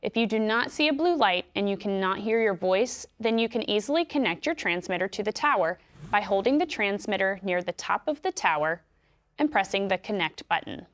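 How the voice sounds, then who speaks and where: Calm American woman explaining step by step. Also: medium pitch. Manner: clear, measured explanatory delivery. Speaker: instructional video narrator. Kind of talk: scripted instructional narration.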